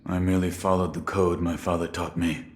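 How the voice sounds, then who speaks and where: An actor playing a stoic, dutiful shinobi warrior in a video game, speaking in a terse, solemn, restrained way. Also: male voice, low-pitched, level tone, a little fast, close to an everyday voice. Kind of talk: dramatic video game voice acting. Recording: long reverberant hall-like echo.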